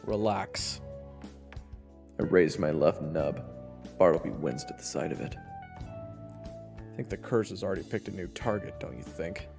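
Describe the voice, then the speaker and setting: A man's voice: deep voice, unhurried, creaky, long pauses, over music. An actor playing a glum, wry young swordsman who narrates his own tale in an audio roleplay. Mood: wry, weary. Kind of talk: first-person scripted narration and audio roleplay acting.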